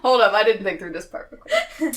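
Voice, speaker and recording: Animated, giggly woman bantering at a game table. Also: medium pitch, fairly quick. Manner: rambling, giggly, self-correcting. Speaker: player in a tabletop roleplaying game. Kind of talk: casual banter, half in character.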